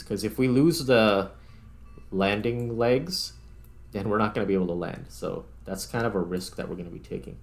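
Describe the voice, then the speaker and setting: A man giving casual let's-play commentary as a gaming video host, thinking aloud in an informal way. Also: lowish voice.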